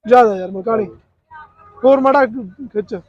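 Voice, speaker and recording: A man's voice: very high voice, normal speed, darkish tone, dry recording, muffled recording. A man with a Punjabi accent hosting casually, asking a seller questions and haggling over prices. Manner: haggling, brief repeated acknowledgements. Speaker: video host interviewing a seller. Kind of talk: casual question-and-answer sales presentation.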